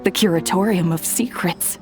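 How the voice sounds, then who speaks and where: A woman's voice: lowish voice, normal speed, music in the background, natural voice. An actress playing a townswoman who passes on gossip with a knowing, teasing tone. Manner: confiding gossip, slightly teasing. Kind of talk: game cutscene voice acting.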